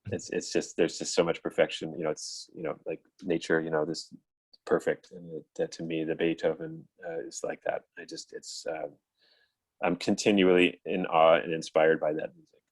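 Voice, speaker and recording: Very deep-voiced American man, reflective. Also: level tone, breathy edge, no echo, rough recording. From United States. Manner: reflective, halting. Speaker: guest violist. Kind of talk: thoughtful interview answers. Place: indoors at home, on a video call.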